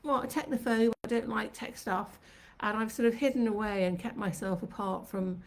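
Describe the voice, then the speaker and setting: A woman's voice: fairly high pitch, steady tone, normal speed. A woman in her fifties, from Sri Lanka, hosting her own live stream from her kitchen and telling her life story in a chatty, reflective way. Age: 50s. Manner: chatty and reflective, reminiscing at length. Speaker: host of her own channel. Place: home kitchen.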